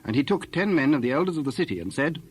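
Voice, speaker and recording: A man's voice: fairly high pitch, sing-song, racing, breathy edge. A man narrating a dramatized Bible story in archaic English, reading from a script.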